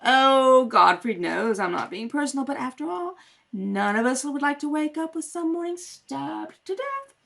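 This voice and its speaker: A woman's voice: sits high, lively intonation, moderate pace, creaky, fairly bright tone, full character voice. A woman acting a cruel, spoiled young socialite daughter in a radio comedy, with a cool, taunting, superior manner. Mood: accusing, snide.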